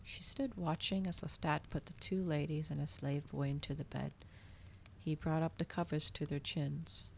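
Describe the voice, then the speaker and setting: A soft-spoken woman reading a story and chatting to viewers in a cozy ASMR style, often slipping toward a whisper, close to the microphone. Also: deep voice, steady tone, normal speed, creaky, slight background noise. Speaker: streamer reading aloud to viewers.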